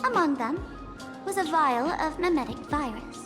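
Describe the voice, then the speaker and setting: A high-pitched, mischievous young woman speaks in swooping, teasing phrases, theatrical like a game character. Her voice rings in long reverb with music behind it. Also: lively intonation, moderate pace. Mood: wry, playful. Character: playful, mischievous young woman running a tavern gathering intel. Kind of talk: video game cutscene acting.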